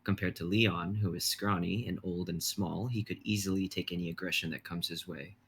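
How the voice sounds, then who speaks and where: A young man reading stage directions in a steady, measured way. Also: deep voice, creaky, a little hiss or hum. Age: young adult.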